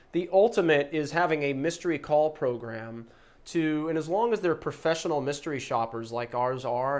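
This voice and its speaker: A man presenting a promotional explainer as a trainer, talking in an emphatic, conversational way and posing rhetorical questions. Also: on the high side. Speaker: host and practice-management trainer pitching a service. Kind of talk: promotional explainer to camera or listener.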